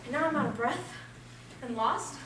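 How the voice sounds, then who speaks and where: A woman's voice: sits high, moderate pace, lightly acted. A woman playing an insecure, lovelorn young woman in a stage play, emotional and pleading, recorded from far off in an echoey hall. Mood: self-pitying. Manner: emotional, pleading, self-pitying. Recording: echoey hall, distant mic, noisy.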